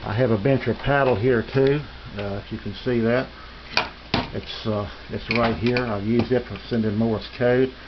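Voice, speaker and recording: An American man giving a casual, unscripted tour of his radio room in a halting, rambling, folksy and polite way. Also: medium pitch, fairly even intonation. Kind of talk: casual unscripted show-and-tell narration. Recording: dull lo-fi home video, faint noise.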